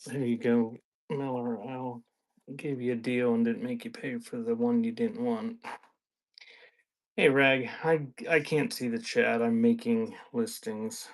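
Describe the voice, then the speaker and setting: A man's voice: medium pitch, steady tone, a little slow, rough recording. A man hosting a live sale in casual, off-the-cuff chat, half muttering to himself as he works and half addressing viewers. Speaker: live auction seller, host.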